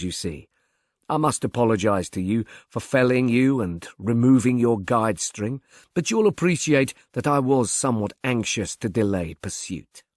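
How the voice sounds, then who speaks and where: Guy playing smug mad murderer, wordy and pompous confession, mid pitch, very dry sound. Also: no room sound, natural voice. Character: insane murderer, smug, coolly confessing in writing. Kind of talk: audiobook first-person confession.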